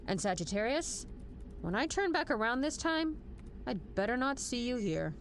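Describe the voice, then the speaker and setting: An actress playing a stern, exacting major general in an audio drama, firm and emphatic. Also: female voice, on the high side, very animated, moderate pace, slight background noise. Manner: firm, clipped orders, emphatic.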